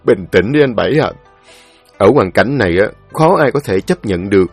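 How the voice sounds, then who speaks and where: A man voicing a calm older neighbor who soothingly urges a grieving father to stay steady. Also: on the low side, normal speed, creaky, slightly rough, soft background music, heavily acted.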